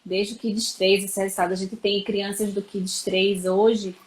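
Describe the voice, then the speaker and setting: A woman's voice: mid-range voice, little pitch movement, measured pace, poor audio. A Brazilian woman educator explaining school methods in a live-stream chat, with many fillers. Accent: Brazilian Portuguese.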